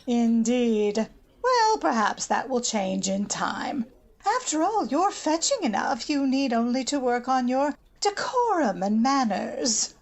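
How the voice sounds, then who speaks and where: A woman's voice: high-pitched, very animated, takes it slowly, heavily acted. A woman playing a conceited, bossy older American society matron in an old-time radio drama, haughty and gushing. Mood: condescending.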